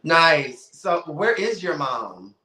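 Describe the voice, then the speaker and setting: A man in his thirties hosting a casual interview podcast, teasing and playful with quick exclamations. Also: a little high, big pitch swings, sharp tone, echoey room. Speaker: podcast host.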